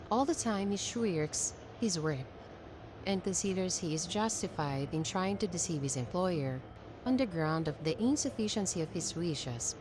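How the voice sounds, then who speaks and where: Woman, slightly low pitch, read-aloud narration, measured formal phrasing, noisy rumbling recording. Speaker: reader of a self-help text. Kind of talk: read-aloud narration of an old-fashioned essay.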